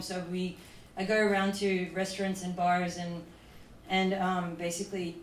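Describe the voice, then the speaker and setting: A woman from Dubai talks on a conference panel in a loose, chatty way, full of fillers and "like." Her voice sits low and barely moves in pitch. She is heard from a distance in an echoey hall with faint noise behind her. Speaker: panel speaker, events promoter.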